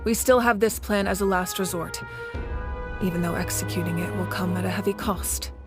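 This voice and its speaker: An actress playing a confident female warrior leader in a video game, speaking in a calm, measured and assured way. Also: on the low side, music in the background, lightly acted. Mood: serious, composed. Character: composed female warrior leader, confident, resolute and fair-minded.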